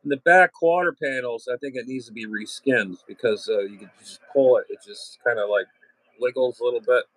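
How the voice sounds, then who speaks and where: A man's voice: mid-range voice, a little fast, fairly bright tone, dry recording, rough recording. A man chatting casually as a radio hobbyist, plain-spoken and salty, often trailing off and circling back. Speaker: amateur radio hobbyist and channel host. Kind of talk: casual rambling chat.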